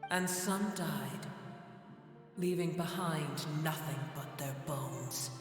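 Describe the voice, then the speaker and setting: An actor playing an ancient, proud and bitter dragon in a video game, booming, grandiose and scornful. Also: male voice, takes it slowly. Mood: solemn, bitter. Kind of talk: video game character acting. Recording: cavernous echo with long reverb. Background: game music.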